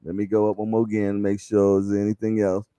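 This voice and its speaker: A man's voice: fairly low pitch, monotone, a little fast, dark tone, clean tone, dry recording. A man in his fifties hosting a boxing livestream, chatting casually and reading viewer comments, chuckling and repeating phrases with slangy banter. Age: 50s.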